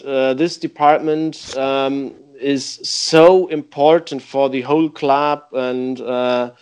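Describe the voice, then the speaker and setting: A man, a youth football coach, answering interview questions in halting English with many pauses. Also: on the high side, a little mellow, clear, resonant tone.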